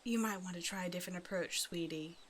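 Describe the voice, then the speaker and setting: A woman's voice: lowish voice, fast talker, slight background noise, natural voice. A woman voicing a hungry half-snake naga in an ASMR roleplay, teasing and condescending, with mock-sweet menace. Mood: playfully threatening. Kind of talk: ASMR roleplay audio drama, addressing the listener.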